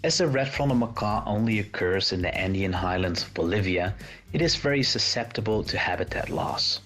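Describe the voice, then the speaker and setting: Low-voiced conservation director. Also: male voice, expressive, a bit bright, faint music behind. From Netherlands. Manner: measured, informative. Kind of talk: interview answers.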